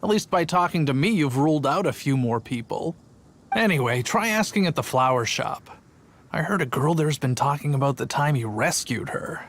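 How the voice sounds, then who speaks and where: Friendly, higher-voiced townsman. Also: expressive, normal speed, slight background noise. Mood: helpful. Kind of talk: video game character acting.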